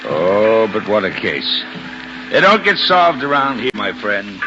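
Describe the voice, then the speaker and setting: An actor playing a weary, cynical police lieutenant in a 1950s radio drama, resigned and dismissive. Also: male voice, fairly low pitch, very animated, a little slow. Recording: dull old radio recording, music behind.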